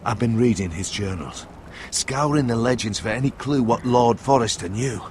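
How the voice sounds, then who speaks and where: An actor playing a grave, devoted older uncle in a medieval fantasy game, speaking in a solemn, formal, measured way. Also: male voice, mid-range voice, darkish tone, slight background noise, natural voice. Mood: solemn, secretive. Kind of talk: dramatic fantasy game acting.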